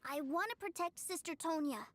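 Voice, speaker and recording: A woman voicing an eager, innocent, stubborn little boy in a video game, with an excitable childlike delivery. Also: very high-pitched, a little fast, a little hiss or hum.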